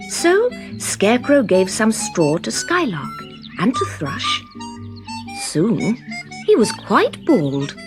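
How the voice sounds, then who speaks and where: A woman narrates a children's story at a slow, deliberate pace. Her pitch moves freely and expressively from phrase to phrase, with soft music underneath. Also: wildly swooping pitch. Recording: background music under the reading.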